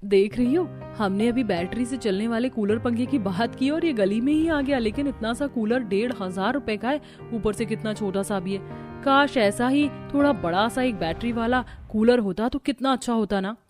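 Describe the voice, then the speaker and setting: Young housewife for family cartoon, voiced by a woman: fretful, expressive, raised pitch, music underneath. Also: moderate pace, close to an everyday voice. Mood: wondering. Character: young daughter-in-law, fretful about household hardship. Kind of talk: Hindi family cartoon acting.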